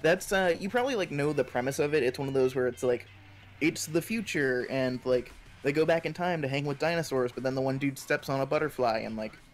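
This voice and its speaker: A young man chatting casually on a live stream, telling stories in a rambling, halting way full of filler words. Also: medium pitch, soft background music. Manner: rambling, halting storytelling peppered with 'like'. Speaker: stream co-host.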